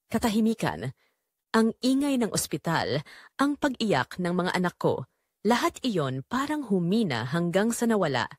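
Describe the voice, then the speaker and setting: Woman acting a sorrowful, long-suffering mother, animated pitch. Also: dry recording, clean studio sound. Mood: resigned. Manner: solemn, sorrowful, pleading storytelling. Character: long-suffering dying mother, quietly aggrieved, tender narrator. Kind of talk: dramatic first-person audio drama narration.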